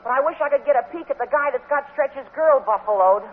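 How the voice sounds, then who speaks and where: A man playing a brash, squeaky adolescent schoolboy in a 1950s radio comedy. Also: animated, fast talker. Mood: brash, indignant. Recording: tinny, hissy 1950s radio broadcast.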